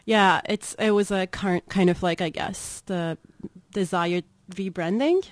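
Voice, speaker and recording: A woman's voice: fairly low pitch, steady tone, unhurried, dry recording. A woman from Turkey with a Turkish accent, answering as an expert guest in an informal, conversational way. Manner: informal, frequent "like" and "kind of". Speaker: guest expert, political science professor.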